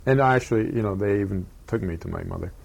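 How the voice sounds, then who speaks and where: Gravelly low-voiced older man, halting. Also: darkish tone, highs cut off. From Japan. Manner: halting, many pauses and fillers. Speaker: interviewee. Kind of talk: oral history interview.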